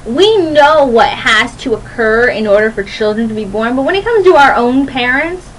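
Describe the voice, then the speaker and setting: High pitched young woman doing a chatty rambly radio show, playful and giggly, some noise in the background. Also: animated, moderate pace, rough recording. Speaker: radio host. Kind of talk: rambling casual monologue to listeners.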